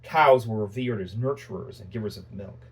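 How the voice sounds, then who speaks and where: A man's voice: lowish voice, moderate pace, husky. A man teaching a Bible lecture in a conversational way, restarting sentences often and making self-deprecating asides.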